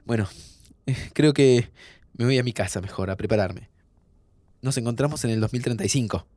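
A man's voice: medium pitch, moderate pace, darkish tone, dry recording, natural voice. An actor playing a playful, wisecracking young man with an Argentine accent, bantering like a loyal best friend in an audio drama. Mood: joking, casual. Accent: Argentine Rioplatense. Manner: bantering, joking through emotional moments. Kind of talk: naturalistic audio fiction acting.

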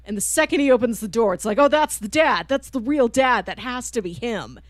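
A woman's voice: on the high side, lively intonation, a little fast, no room sound, studio quality. A woman co-hosting a casual discussion, retelling a story in a chatty way and acting out the characters' lines. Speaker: co-host of a manga discussion show. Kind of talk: casual book discussion with spoilers.

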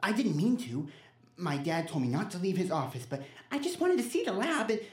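A woman acts as a persuasive kid in an audio drama, with a lowish voice that seems older than childhood. The intonation is animated and insistent, coaxing the listener along. The space around it is noticeably echoey. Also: fairly quick. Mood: pleading, urgent. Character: persuasive, impatient kid trapped and scheming. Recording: roomy, echoing sound.

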